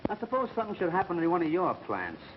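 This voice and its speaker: A sly, threatening gangster in vintage crime picture style. His voice is a touch high for a man and moves animatedly as he insinuates. The old recording is dull with faint noise. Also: huge pitch swings, fast talker. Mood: menacing. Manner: insinuating, tough. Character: menacing, sly racketeer making veiled threats. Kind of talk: 1930s crime film acting. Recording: muffled 1930s film sound, faint hiss.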